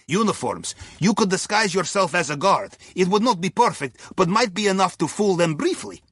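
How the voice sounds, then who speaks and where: Wry Russian heist sidekick, high-pitched for a man, husky, folksy, upbeat, video game mission guide. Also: sharp tone, no echo, heavily acted. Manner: wry, folksy, drops articles. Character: wry, upbeat male submarine captain's sidekick and heist planner. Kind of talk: scripted video game voice lines.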